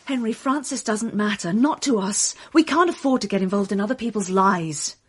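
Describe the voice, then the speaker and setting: An actress playing an earnest, thoughtful woman witness with quiet intensity in a radio mystery drama. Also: medium pitch, normal speed, slightly rough, natural voice. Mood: pleading. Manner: earnest, reflective, emphatic. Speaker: actor.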